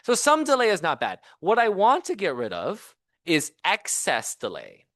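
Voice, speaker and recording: A man giving an engineering seminar talk as a professor, in a conversational, animated manner with rhetorical questions. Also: high-pitched, moderate pace, dry recording, studio quality. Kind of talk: engineering lecture. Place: seminar room at a university.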